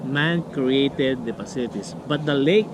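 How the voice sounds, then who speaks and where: Middle-aged man, second-language English, chatty, halting, many fillers, outdoor park, camera on table, steady background noise. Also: mid-range voice. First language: not English. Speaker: vlog host. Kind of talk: casual family vlog chat.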